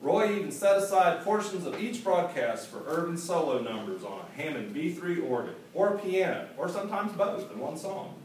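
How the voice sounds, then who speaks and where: A man in his forties, an author reading from his own book to an audience in a school hall, telling it in a lively, humorous way with asides. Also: a little high, moderate pace, few pauses. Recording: echoey hall, distant mic, faint hiss.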